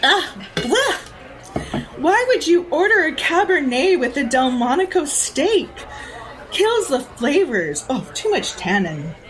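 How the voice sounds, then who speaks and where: A haughty, predatory society lady in a radio play. She drawls slowly and teasingly, her pitch swinging widely with theatrical relish. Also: very animated, a bit bright, exaggerated voice, poor audio. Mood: catty, amused. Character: predatory, jaded society woman who borrows bodies. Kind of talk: audio drama acting.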